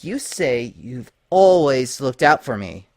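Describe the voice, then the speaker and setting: A man's voice: fairly high pitch, big pitch swings, moderate pace, a bit bright, no room sound, clean studio sound, pitched above the performer's own voice. A man voicing an anxious, suspicious young female unicorn pony in a story reading, in a tense, questioning manner.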